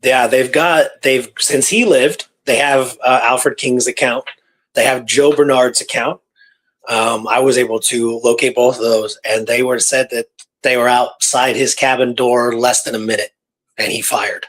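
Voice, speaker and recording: Man telling history in a halting way. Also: medium pitch, steady tone, fairly bright tone, poor audio. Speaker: radio show co-host. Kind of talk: informal historical storytelling.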